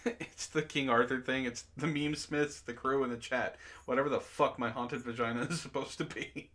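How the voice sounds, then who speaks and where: A man's voice: mid-range voice, steady tone, moderate pace, fairly bright tone. A man hosting a casual online chat, reading messages and memes aloud and reacting in a giggly, profane way.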